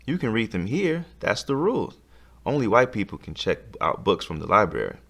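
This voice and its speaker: A man's voice: on the low side, very animated, fairly quick, vocal fry, fairly bright tone, full character voice. A man voicing an orderly woman librarian in a children's story, firm and matter-of-fact.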